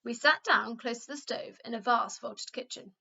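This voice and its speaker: A woman reads a children's book aloud like a teacher to her class. Her high, bright voice moves up and down expressively, and the recording is a little dull. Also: moderate pace, vocal fry, slight background noise. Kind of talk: expressive children's storybook reading.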